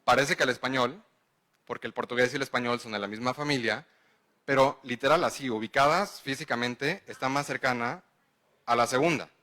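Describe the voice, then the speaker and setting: A man's voice: medium pitch, fairly quick, sharp tone. A man with a Mexican accent giving a conference lecture. He explains step by step and checks in with the audience. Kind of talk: conference lecture answering questions.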